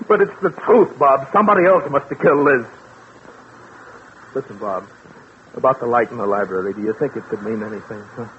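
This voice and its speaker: A man's voice: mid-range voice, lively intonation, fairly quick, long pauses, natural voice. An actor playing an anxious, pleading man under suspicion, stammering in 1940s radio drama style. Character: desperate, anxious man suspected of killing his wife. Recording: tinny, noisy vintage radio recording.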